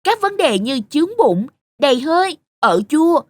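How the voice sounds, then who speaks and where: A talking durian fruit in a children's cartoon. The voice is high and animated, with a dry, close sound. It explains things in a proud, friendly, teacherly way. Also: female voice, moderate pace, no room sound. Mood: proud, instructive. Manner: explanatory, sing-song, friendly.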